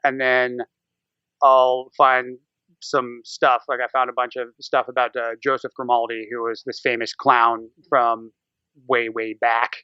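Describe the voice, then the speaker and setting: A man's voice: mid-range voice, little pitch movement, unhurried, clean tone, dry recording, lo-fi sound. A man chatting casually as a podcast guest, rambling playfully as he tells stories. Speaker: podcaster and amateur voice actor. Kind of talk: casual interview chat.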